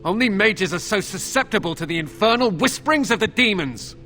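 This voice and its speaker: A man's voice: high-pitched, expressive, fairly quick, bright, forceful tone. A man playing a tortured, bitter and fearful young templar captive in a video game, speaking with anguished intensity. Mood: bitter hatred. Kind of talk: dramatic video game voice acting. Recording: music under the voice.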